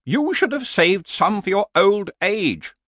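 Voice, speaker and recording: A nagging, sensible horse, voiced by a man reading a story, pitched well above an everyday male voice and swinging widely in tune. It pleads and reasons plaintively, like a worried travelling companion, in a dry, close recording. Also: big pitch swings, slow, pushed and bright, dry recording, exaggerated voice. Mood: questioning.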